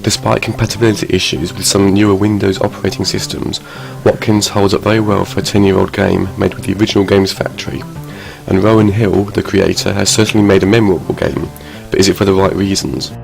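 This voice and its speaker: Deep gravelly man narrating a review. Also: level tone, fast. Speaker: game reviewer. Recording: voice over loud background music.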